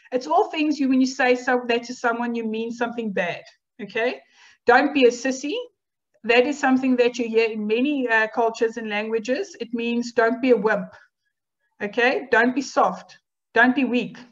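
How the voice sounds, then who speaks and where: A woman whose first language is Afrikaans, giving a university lecture in a measured, explanatory way with rhetorical questions. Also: fairly high pitch. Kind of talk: academic lecture over slides. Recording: dull lo-fi online lecture audio.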